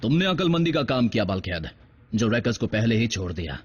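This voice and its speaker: A man's voice: on the low side, very animated, fairly quick, slightly rough. A man playing a cocky, defiant veteran robot fighter in a cartoon, with a wry, casual way of talking. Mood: bitter. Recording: dull sound with background noise.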